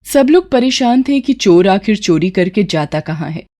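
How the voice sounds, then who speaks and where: A woman's voice: mid-range voice, lively intonation, normal speed, warm tone. A woman narrates a moral story in a scripted storytelling style.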